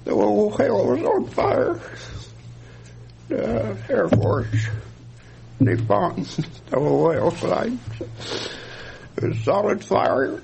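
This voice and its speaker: Older man, slow and gravelly. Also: high-pitched, lively intonation, darkish tone, a little hiss or hum, rough recording. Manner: slow halting storytelling. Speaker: veteran honoree. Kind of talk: recalling memories. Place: indoor community gathering.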